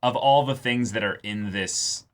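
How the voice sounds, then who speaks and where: A man co-hosting a reaction show in casual discussion, explaining things in an animated way. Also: lowish voice, unhurried. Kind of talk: casual discussion of an anime episode.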